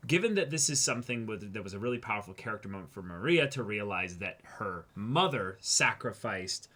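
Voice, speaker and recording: Bright-voiced man in casual analytical discussion. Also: fairly low pitch, lively intonation, a little fast. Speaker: co-host of an anime reaction show.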